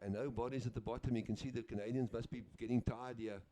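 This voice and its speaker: Guy doing sports commentary, laid back, lots of uhs, mellow voice. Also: mid-range voice, fairly even intonation, fast talker. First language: Afrikaans. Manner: easygoing, chatty, with frequent uhs and name slips. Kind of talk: live play-by-play commentary.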